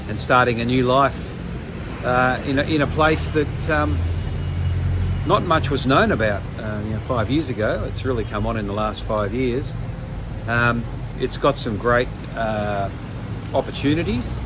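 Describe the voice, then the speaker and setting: An older man vlogging casually to camera, pausing often with ums and restarts. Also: medium pitch, noisy background. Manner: hesitant, many ums and restarts. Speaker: travel vlogger.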